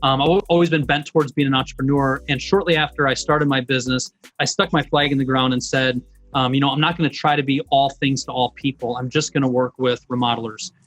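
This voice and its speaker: A man's voice: medium pitch, fairly even intonation, fast, a bit bright. A middle-aged man, a business coach, presenting online in an informal, folksy, conversational way.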